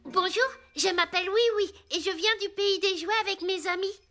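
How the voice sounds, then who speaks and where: A woman's voice: extremely high pitch, steady tone, normal speed, sharp tone. A woman voicing a cheerful, eager little wooden toy boy in a French children's cartoon, with a childlike, encouraging delivery. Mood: friendly, concerned. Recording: music behind the voice.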